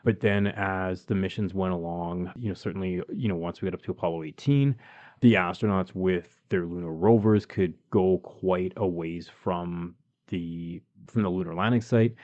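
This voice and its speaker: A Canadian man with a lowish, mellow voice talks through engineering news in a relaxed podcast chat, filling pauses with um and you know. The sound is a little dull and lo-fi. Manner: explanatory, chatty, with many ums and you-knows. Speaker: podcast co-host.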